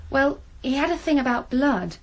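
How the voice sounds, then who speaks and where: A young female student in a radio drama. She has a very high voice and an earnest, anxious way of talking. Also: lively intonation, moderate pace. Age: young adult.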